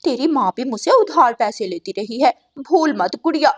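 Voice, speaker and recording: A woman voicing a greedy, haughty female crow with a sharp, scornful manner in a cartoon story. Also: sits high, expressive, moderate pace. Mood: demanding. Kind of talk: Hindi animated moral-story dialogue.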